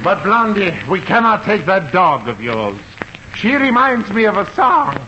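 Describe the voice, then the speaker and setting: A muffled, noisy old radio comedy recording of a persistent suitor. He speaks slowly and formally, with florid courtliness and a threatening edge, in a high-pitched male voice. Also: sing-song, bright, forceful tone, exaggerated voice. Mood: menacing, determined. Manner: formal, florid, deliberate phrasing. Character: lovestruck, menacing Middle Eastern suitor, courtly and persistent. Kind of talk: 1950 radio sitcom acting.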